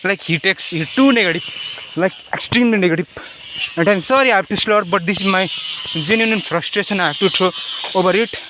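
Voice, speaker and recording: A man with a Nepali accent speaking off the cuff in a personal monologue, halting and rambling, often repeating his phrases. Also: high voice, noisy background. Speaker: vlogger.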